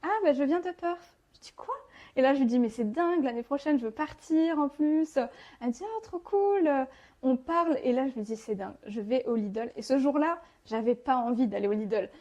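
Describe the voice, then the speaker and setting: Higher-pitched young Frenchwoman telling her story. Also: animated, brisk, dark tone, dull audio. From France. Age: 20s. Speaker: podcast guest. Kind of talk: casual personal storytelling.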